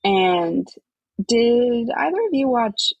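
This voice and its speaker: A woman's voice: fairly high pitch, big pitch swings, slow pace, no room sound, lo-fi sound. A woman co-hosting a podcast, chatting casually. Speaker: podcast co-host. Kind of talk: casual chat.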